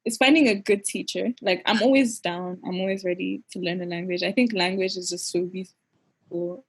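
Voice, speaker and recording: A young woman, a podcast guest, chatting in an animated, giggly way and peppering her speech with "like". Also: mid-range voice, moderate pace, slightly rough. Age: young adult. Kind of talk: casual interview chat.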